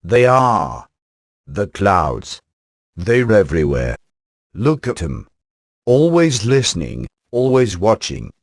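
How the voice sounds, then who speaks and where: Casting: crotchety, paranoid old crackpot for a game; grumbling folksy delivery that swoops about, dry studio sound. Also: male voice, lowish voice, slow pace, dry recording, full character voice. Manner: folksy, rambling, excitable, grumbling. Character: eccentric, cloud-paranoid old man, cantankerous ranting inventor. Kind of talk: video game character acting.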